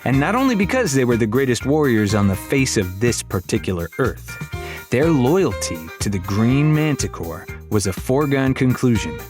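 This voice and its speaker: A man's voice: medium pitch, sing-song, moderate pace. A man narrating a fantasy story for young readers in a theatrical, wry storytelling manner. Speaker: audiobook narrator. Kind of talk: dramatic scripted narration of a middle-grade fantasy. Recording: music behind the voice.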